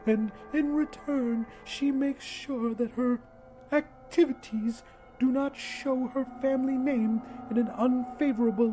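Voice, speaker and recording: A man's voice: very high voice, dark tone, pitched above the performer's own voice, low-quality recording. A man voicing a weird, frog-like little man who recruits for a secret party, speaking formally and probingly in an audiobook story, with music behind him. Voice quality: weird, odd voice.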